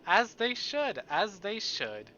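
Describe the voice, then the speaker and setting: A man's voice: sits high, wildly swooping pitch, slow pace, pushed and bright, dull audio, a little hiss or hum. A man live streaming, reading a story aloud and adding playful, exclamatory asides to his chat. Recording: music behind the voice.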